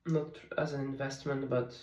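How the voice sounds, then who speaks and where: A woman in her thirties whose first language is Polish, speaking in a soft, candid, confiding way in a personal diary vlog at home. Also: very deep voice, flat delivery, moderate pace, echoey room, recorded from a distance. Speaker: vlogger. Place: home, at night.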